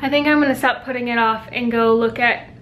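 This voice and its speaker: A woman's voice: a little high, fairly even intonation, brisk, fairly bright tone, slight background noise. Chatty young woman vlogging at home. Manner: chatty, upbeat, rambling with many likes. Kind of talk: casual vlog chat. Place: at home and out and about. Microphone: handheld compact camera.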